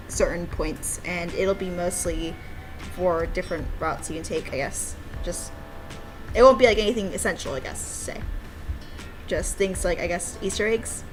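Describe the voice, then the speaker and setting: A woman giving casual, playful and giggly commentary as she plays a game she made herself. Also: medium pitch. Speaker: game developer playing her own game.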